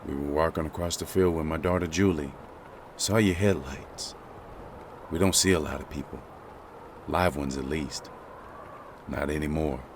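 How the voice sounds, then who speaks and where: A man's voice: a bass voice, moderate pace, long pauses, background noise. A man playing an apologetic, wary father who is surviving on the road, in a dramatic comic dub.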